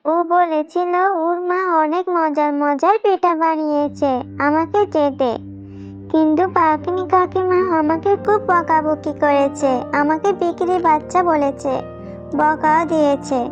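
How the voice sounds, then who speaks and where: Very high-pitched pleading little girl. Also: fairly even intonation, unhurried. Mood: sad, pleading. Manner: childlike, whining, pleading. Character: pleading, sensitive little daughter. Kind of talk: children's cartoon voice acting. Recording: dull lo-fi audio, children's music behind. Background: children's music.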